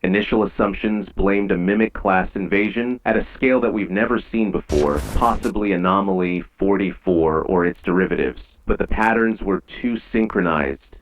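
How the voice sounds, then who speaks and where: A man playing a grave, measured emergency announcer in an eerie analog horror broadcast, with dull, lo-fi old radio sound. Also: low voice, lightly acted. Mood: official, grave. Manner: measured, solemn, ominous.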